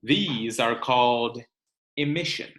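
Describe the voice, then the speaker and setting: A man reading a book aloud to children like a teacher, expressive and instructional, pausing to explain. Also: mid-range voice. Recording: roomy, echoing sound.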